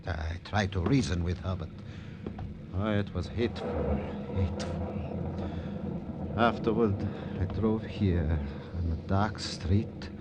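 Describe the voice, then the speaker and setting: An actor playing an anguished, unstable male ballet impresario, theatrical and halting, in an old-time radio drama. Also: low-pitched, very noisy. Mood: despairing. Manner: theatrical, halting, repetitive, formal phrasing.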